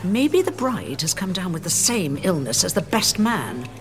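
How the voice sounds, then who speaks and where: A woman's voice: fairly low pitch, very animated, normal speed, faint music behind. An actress playing a bossy, sarcastic, exasperated middle-aged mother in an audio drama. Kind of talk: naturalistic audio drama acting.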